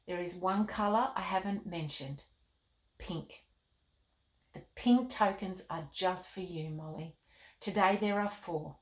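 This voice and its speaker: A woman voicing a kindly, majestic king in a children's storybook, measured, gentle and reassuring. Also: lowish voice, echoey room. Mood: patient, explaining.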